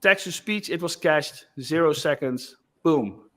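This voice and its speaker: Chatty man demoing software. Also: a little high, a little slow. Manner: loose and chatty, frequent "like" and "uh" fillers. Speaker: software developer presenting. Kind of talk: casual screen-share product demo.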